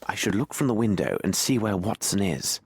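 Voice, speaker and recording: A man voicing a shrewd, imperious gentleman detective in a video game, with a British accent and a precise, formal, theatrical delivery. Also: fairly low pitch, lively intonation, a little fast, breathy edge, no room sound. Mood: analytical, intrigued. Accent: British English. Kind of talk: dramatic video game voice acting.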